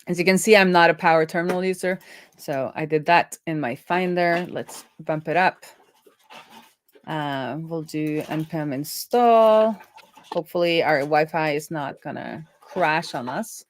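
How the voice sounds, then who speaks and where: A woman's voice: deep voice, unhurried, a little hiss or hum. A woman hosting a coding livestream, chatting casually and thinking aloud as she works.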